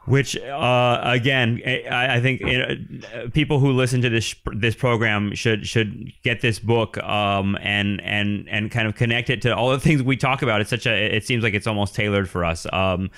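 A man hosting a podcast interview, talking in a halting way with many restarts and fillers. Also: mid-range voice, steady tone, vocal fry, a bit bright. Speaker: podcast host.

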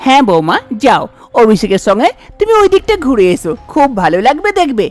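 Woman performing a kindly older mother-in-law, cartoon voice, effusive, very animated, music underneath. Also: on the high side, quick pace. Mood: pleased, approving. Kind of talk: cartoon story character voice.

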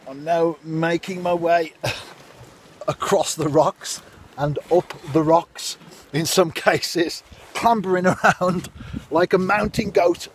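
A middle-aged man talks casually into a phone held at arm's length on coastal rocks. His voice sits a little high for a man, and he chats at an easy pace with little asides to the viewer. A faint outdoor hiss runs under his voice. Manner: chatty and unhurried, with asides to the viewer. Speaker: fishing vlogger. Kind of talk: casual outdoor narration to camera. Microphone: phone camera at arm's length.

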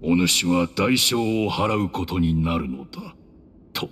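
Deep, stern old temple leader. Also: male voice, lively intonation, slow, a bit bright, exaggerated voice. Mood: grave, condemning. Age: older. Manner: archaic, solemn, imperious speech. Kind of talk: dramatic video game acting. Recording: dramatic music behind the voice.

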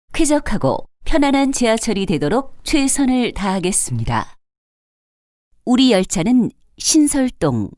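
Woman doing subway announcements, lively sing-song pitch, mellow tone, dry sound. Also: no room sound. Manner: polite, measured and formal. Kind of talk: recorded transit announcement.

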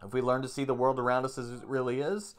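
A man's voice: mid-range voice, flat delivery, quick pace, clear, resonant tone. A man around forty, a plain-spoken meditation teacher who reads aloud and explains things informally, repeating points for emphasis. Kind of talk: reading aloud from a book with informal commentary. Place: small room at home, indoors.

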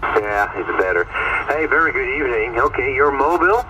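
A man from Quebec chatting courteously and haltingly over a CB radio transmission. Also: mid-range voice, lively intonation, highs cut off. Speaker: CB radio operator. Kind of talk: informal radio contact chat. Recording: very noisy, distant CB radio reception.

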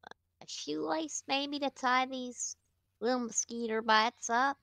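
A woman's voice: high-pitched, slow, gravelly, a bit bright, no echo, studio quality, exaggerated voice. A woman voicing a sassy, crude sidekick friend who mocks the caller on a prank call. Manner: mocking, sassy interjections.

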